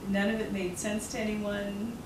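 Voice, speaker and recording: A woman's voice: medium pitch, flat delivery, moderate pace. Earnest woman author, roomy distant sound. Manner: earnest, reflective, laughs often. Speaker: author interviewed about her novel. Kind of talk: casual interview conversation. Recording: roomy and noisy, mic far off.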